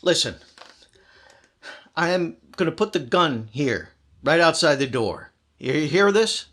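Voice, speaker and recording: A man's voice: fairly high pitch, animated, slow, a bit bright, a little hiss or hum. A man acting in an old-time radio thriller as a desperate, scheming murderer who is urgent and commanding, by turns wheedling and menacing. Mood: urgent bargaining. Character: desperate, scheming male murderer trying to strike a bargain.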